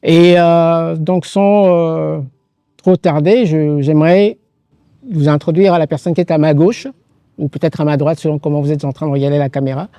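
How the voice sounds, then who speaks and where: A man hosting an interview in polite, halting, formal phrasing. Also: on the high side, steady tone, mellow, smooth, no echo. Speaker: interview host. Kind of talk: unscripted event hosting.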